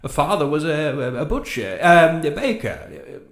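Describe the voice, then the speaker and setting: Man playing a bumbling king, stammering, rises and falls a lot, slightly high. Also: animated, moderate pace, echoey room, natural voice. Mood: dithering. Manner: stammering, fumbling for words. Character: dithering vague bumbling male king.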